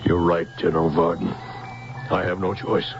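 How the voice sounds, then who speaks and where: An actor playing an old, cornered but stubborn robot scientist in a radio drama, wary, halting and defiant. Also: male voice, mid-range voice, sing-song. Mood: reluctant, uneasy. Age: older. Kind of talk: 1970s radio drama acting. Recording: muffled vintage radio recording with music.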